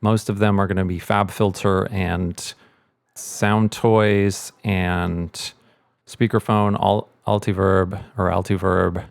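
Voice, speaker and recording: Low-voiced man giving a dry-sounding tutorial. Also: level tone, slow, vocal fry, clean tone, no room sound. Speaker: host, sound editor and mixer. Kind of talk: casual tutorial.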